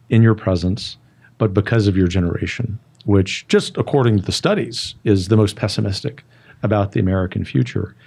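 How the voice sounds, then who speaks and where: A middle-aged man hosting an interview show, speaking in a measured, persuasive way and often repeating a word before going on. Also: on the low side, warm tone. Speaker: talk show host. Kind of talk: interview conversation.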